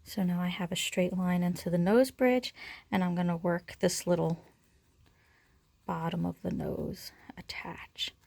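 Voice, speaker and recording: A woman's voice: on the low side, fairly even intonation, unhurried, gravelly, a little mellow, no room sound. A woman giving a casual step-by-step craft demonstration, informally talking through each action as she works. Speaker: craft tutorial instructor.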